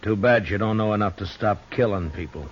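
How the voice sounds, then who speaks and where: An actor playing a tough, steady frontier lawman in an old radio western, speaking in a dry, laconic, calmly defiant way. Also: fairly low pitch, brisk, faint music behind, close to an everyday voice. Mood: stern. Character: steady, tough, unflappable male frontier marshal. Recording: muffled, noisy old radio recording.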